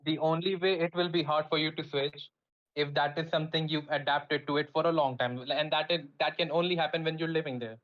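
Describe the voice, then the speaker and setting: A man's voice: a little high, fairly even intonation, a little fast, muffled recording. A man in an online voice chat argument, pressing with incredulous, challenging questions. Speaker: debate participant.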